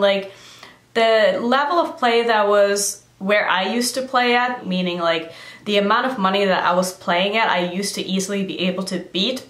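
A woman's voice: mid-range voice, a bit bright, echoey room. A woman in her thirties chatting casually to camera in a Q&A vlog, filling her talk with "like.". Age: 30s. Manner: chatty, lots of "like" fillers. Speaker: beauty vlogger. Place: decorated room indoors. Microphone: camera framing head and shoulders.

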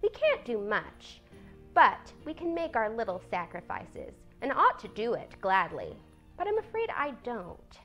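A woman's voice: on the high side, huge pitch swings, measured pace, fairly bright tone, faint music behind, exaggerated voice. A woman voicing a prim, responsible teenage elder sister with an earnest, lecturing manner in a storybook reading. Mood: wistful.

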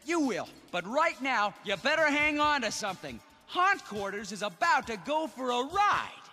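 A man's voice: very high voice, very animated, normal speed. A man voicing a brave, earnest young ghostbuster leader in a cartoon, with a decisive manner. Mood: confident. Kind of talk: cartoon hero acting. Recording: long reverb, loud music underneath.

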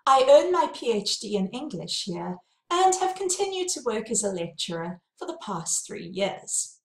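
South African woman lecturer, lively pitch. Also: normal speed, roomy sound. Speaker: university lecturer. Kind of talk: course welcome lesson. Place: home study with bookshelves, indoors.

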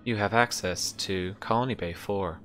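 A man voicing a terse, impassive ship's computer in a tabletop game, speaking formally and flatly. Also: on the low side, normal speed, soft background music, natural voice. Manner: flat, formal, withholding.

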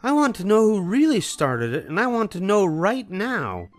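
A man voicing an exasperated mother bear in a storybook reading, stern and shouting. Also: high voice, huge pitch swings, normal speed, soft background music, voice pushed up.

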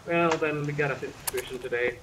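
A man with a somewhat high voice role-plays a medic in an online game. He is casual and a little wry. The voice chat has noticeable noise. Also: brisk. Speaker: roleplay gamer playing a medic. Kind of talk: improvised in-character game roleplay chat. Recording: noisy gaming voice chat.

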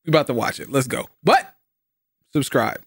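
A young American man talking casually and excitedly in slang as a video host, close to a studio mic at home. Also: medium pitch, quick pace, dry recording. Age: young adult. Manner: hyped, slangy, exclamatory. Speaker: YouTube host reacting to a game trailer. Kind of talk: casual excited reaction commentary. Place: small home streaming room. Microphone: studio mic on boom arm, close.

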